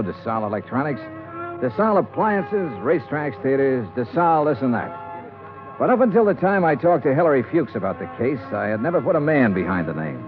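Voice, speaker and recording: Man as a fast-talking hardboiled investigator. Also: medium pitch, lightly acted. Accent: American. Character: brisk hardboiled male insurance investigator narrating. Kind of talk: old radio detective narration. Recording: dull old radio recording.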